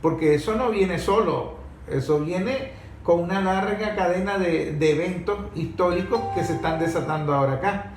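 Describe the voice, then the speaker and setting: A Venezuelan man with a fairly high voice gives off-the-cuff political commentary. He is emphatic and colloquial, often posing rhetorical questions. The room is clearly echoey. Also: normal speed. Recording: echoey room with faint noise.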